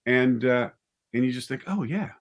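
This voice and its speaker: A man's voice: medium pitch, animated, moderate pace. A man speaking casually as an interview guest, a writer and director thinking aloud in a hesitant, conversational way.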